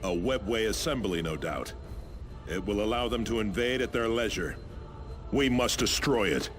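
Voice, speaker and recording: A man's voice: fairly low pitch, expressive, normal speed, a bit bright, faint music behind. A man playing a learned, commanding space marine advisor in a video game, speaking formally with authority. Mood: resolute. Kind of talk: dramatic video game acting.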